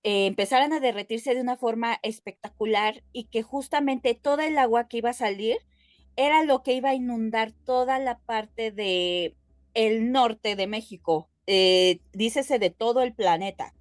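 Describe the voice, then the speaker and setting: A woman with a Mexican Spanish accent co-hosting a podcast, explaining things casually and haltingly as she addresses her listeners. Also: fairly high pitch, no room sound, studio quality. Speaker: podcast co-host.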